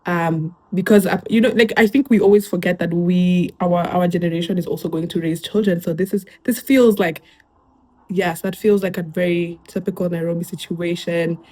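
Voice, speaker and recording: A woman's voice: fairly low pitch, fairly even intonation, normal speed, dark tone. A young woman with a Kenyan accent, chatting casually as she reacts to online posts and mixing in Swahili phrases. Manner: chatty, switches into Swahili phrases, lots of fillers.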